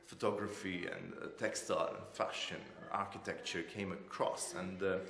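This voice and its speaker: Low-voiced Croatian man, echoey room. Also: steady tone, moderate pace, vocal fry, a bit bright. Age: 40s. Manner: hesitant, searching for words. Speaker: artist being interviewed. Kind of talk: unscripted interview answers. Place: indoors in an art gallery room.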